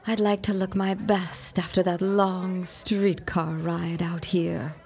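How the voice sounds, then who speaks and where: Slow, creaky, cool-headed woman. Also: fairly low pitch, little pitch movement, darkish tone. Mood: defiant. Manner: measured, pointed, guarded. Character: cool, defiant young secretary as party guest. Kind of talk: period audio drama acting.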